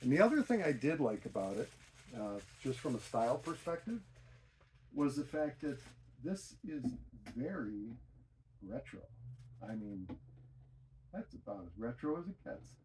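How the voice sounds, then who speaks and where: A middle-aged man talks casually as a hobbyist presenter in a relaxed, mellow voice at an ordinary male pitch. He thinks aloud and wanders a little, with a self-deprecating way of putting things. Faint noise sits behind him. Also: measured pace, long pauses, low-quality recording. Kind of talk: casual unscripted video explanation.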